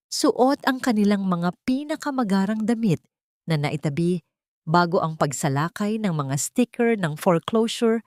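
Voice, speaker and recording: A woman's voice: on the low side, a little mellow, no echo, clean studio sound. A woman narrating a dramatic story from a script.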